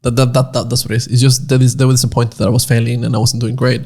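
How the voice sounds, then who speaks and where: Gravelly-voiced man, from Nicaragua. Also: mid-range voice, level tone, rapid-fire, darkish tone. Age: 20s. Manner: rambling, repeats words while searching for them. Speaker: podcast co-host. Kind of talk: casual chat.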